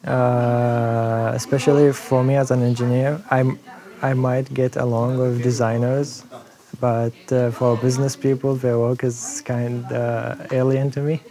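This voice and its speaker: A man's voice: mid-range voice, little pitch movement, unhurried. A man in his twenties from Iraq with an Iraqi accent, speaking unscripted as an engineering student in an interview. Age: 20s. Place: busy classroom studio, indoors. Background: soft background music.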